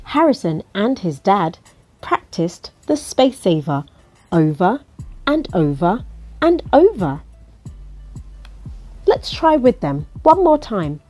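A woman reading a children's picture book aloud in an expressive, coaxing way, as if to young listeners. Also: mid-range voice, takes it slowly, pauses often, no echo, faint music behind. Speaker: storybook reader.

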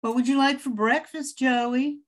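A woman's voice: high voice, steady tone, a little slow. An older woman playing a homely, hospitable widowed grandmother in an amateur radio play. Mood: polite, caring.

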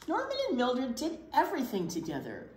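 A woman in her sixties reading a picture book aloud to children, with dramatic pauses and emphasis. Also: sits high, huge pitch swings, a little mellow. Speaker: children's storytime reader. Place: indoor children's library room. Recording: roomy space with faint background noise.

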